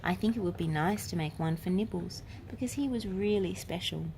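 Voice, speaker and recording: A woman's voice: lowish voice, fairly quick, background noise, close to an everyday voice. A woman voicing a patient, earnest schoolboy, an older brother who explains things in a storybook. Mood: gentle, serious.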